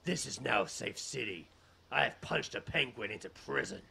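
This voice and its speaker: A man's voice: mid-range voice, animated, moderate pace, vocal fry, bright, forceful tone, slight background noise, exaggerated voice. A man playing a brooding, self-serious superhero in a parody radio play, declaring everything with mock-heroic solemnity. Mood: grim, solemn.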